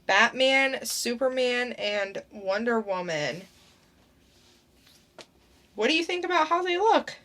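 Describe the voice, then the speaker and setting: A woman's voice: fairly high pitch, expressive, takes it slowly, pauses often, bright, forceful tone. A young woman co-hosting a podcast, chatting casually and reacting with short, eager remarks. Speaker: podcast co-host. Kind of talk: casual chat.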